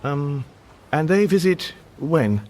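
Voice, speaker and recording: A man's voice: a little high, animated, takes it slowly, darkish tone. An actor playing a mild, baffled, apologetic Englishman with an English accent in a radio comedy drama, speaking hesitantly with dry understatement. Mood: confused. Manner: hesitant, bemused, dryly understated.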